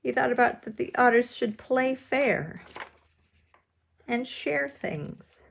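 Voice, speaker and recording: A woman leading a drawing lesson, explaining each step simply and with gentle encouragement, as if to children. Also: fairly high pitch, animated, slow, vocal fry. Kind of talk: instructional demonstration, step-by-step.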